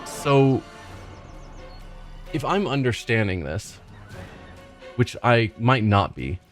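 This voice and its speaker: A man's voice: mid-range voice, big pitch swings, slow pace, faint music behind. A man hosting a let's play, thinking aloud hesitantly in casual commentary as he plays.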